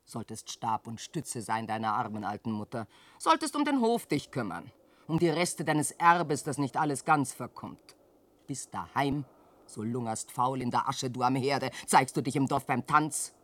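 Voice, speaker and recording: A woman's voice: animated, moderate pace. A scolding old widowed mother. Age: older. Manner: scolding and lamenting, swings from shrill cries to hushed sighs. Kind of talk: classic radio play acting in verse.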